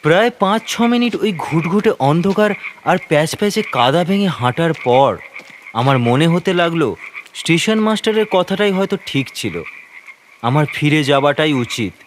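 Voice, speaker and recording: A man narrating a horror story in a suspenseful, expressive, dramatic manner. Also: a little high, moderate pace. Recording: rain and noise behind narration. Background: rain.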